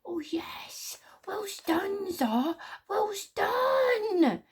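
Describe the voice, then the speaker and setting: Tiny excitable squealy storybook creature, super high voice, fawning and gushing, drawn out. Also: female voice, drawn-out words, exaggerated voice. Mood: gushing excitement. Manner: gushing, ungrammatical praise. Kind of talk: audiobook character voice.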